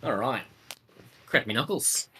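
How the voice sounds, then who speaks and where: A man's voice: medium pitch, animated, unhurried, pushed and bright, slight background noise. A man playing a tabletop roleplay game, talking casually and chummily as he plans moves. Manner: chummy, says mate often.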